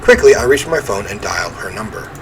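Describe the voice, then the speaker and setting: A man reading a first-person horror game story aloud in an expressive, theatrical way. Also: lowish voice, a little fast. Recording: clear ambient noise behind voice.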